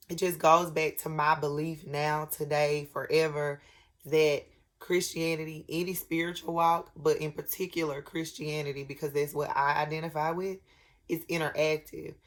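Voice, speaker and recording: A woman with a cold and a raspy voice, speaking earnestly to camera in a personal vlog, with many pauses and restarts. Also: sits low, a bit bright. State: sick with a head cold. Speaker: vlogger. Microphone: camera at desk, glancing at notes.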